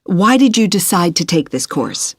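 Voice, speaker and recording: University tutor: woman, lowish voice, courteous and methodical, dry close recording for an exam dialogue. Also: big pitch swings, normal speed, breathy edge, no echo, full character voice. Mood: courteous, advisory. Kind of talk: scripted listening-test dialogue.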